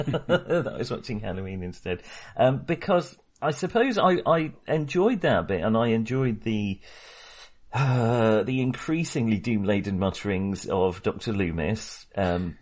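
A man's voice: on the low side, lively intonation, measured pace, darkish tone. A middle-aged man co-hosting a casual film discussion, talking in a wry, hesitant, self-correcting way. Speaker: film podcast co-host.

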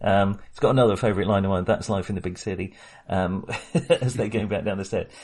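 Male podcast host, low husky voice, rambling film chat. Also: steady tone, a little fast, darkish tone. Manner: rambling, hesitant, chuckles often. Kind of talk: casual film discussion.

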